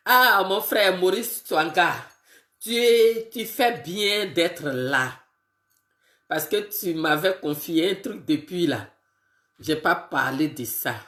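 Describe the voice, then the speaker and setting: A woman who has a bad cold, greeting her viewers one by one on a casual live video and talking in a halting way with many fillers. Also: sits low, fairly bright tone, echoey room. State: congested with a cold, sniffly and tired. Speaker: political live streamer.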